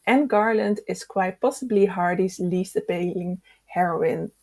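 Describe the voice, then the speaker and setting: A woman hosting a casual book discussion, opinionated and animated, often giggly as she talks. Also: fairly low pitch, a little slow, vocal fry.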